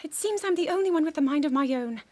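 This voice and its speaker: A woman's voice: sits high, expressive, fast, a little mellow. A woman playing a proud, frustrated young princess in a video game, with a formal, precise manner. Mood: indignant, curt. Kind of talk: video game cutscene acting.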